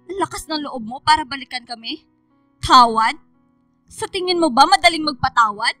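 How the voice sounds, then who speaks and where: Hurt young filipino daughter, radio drama, high bright voice, reproachful. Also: female voice, expressive, normal speed, no room sound, soft background music. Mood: angry, defiant. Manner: confrontational, reproachful. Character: bitter young daughter, hurt by her absent father.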